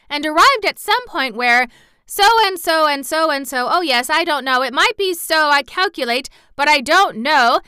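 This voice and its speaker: A rambling storybook boy, played by a woman reader, spilling long breathless nonsense in a high, lively voice. The sound is bright, clear and dry. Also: no room sound. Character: boy babbling endless nonsense speeches.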